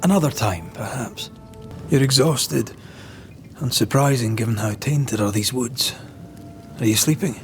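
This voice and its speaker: An actor playing a compassionate, steady ghost-hunter who asks measured questions in old-fashioned phrasing in a game drama. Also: male voice, mid-range voice, soft background music, close to an everyday voice. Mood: concerned. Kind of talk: dramatic video game cutscene acting.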